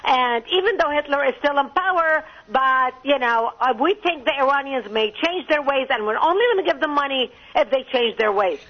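A woman's voice: high voice, animated, normal speed, few pauses. A woman from Lebanon, a commentator arguing emphatically in a radio interview over a phone line. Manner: emphatic, argumentative, rising to outbursts. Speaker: political commentator guest.

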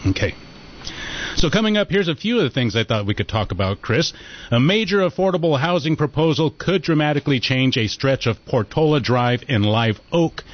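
A man's voice: a little high, a little fast. Guy hosting a chill local radio talk show, easygoing chat, kinda muffled sound. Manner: relaxed, chatty, reads headlines.

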